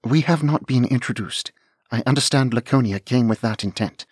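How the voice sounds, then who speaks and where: Storybook heroine, young noblewoman, voiced by a man, raised a touch higher, formal and firm, slightly husky. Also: moderate pace, a little mellow, no room sound, clean studio sound, natural voice. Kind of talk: audiobook character voice.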